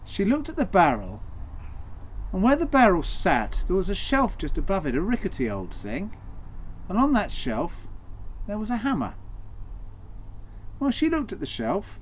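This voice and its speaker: A middle-aged Englishman with a high, very animated voice tells a folk tale. His delivery is theatrical, with deliberate dramatic pauses. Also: slight background noise, rough recording. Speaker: costumed storyteller. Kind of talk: theatrical oral storytelling. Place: small draped indoor set.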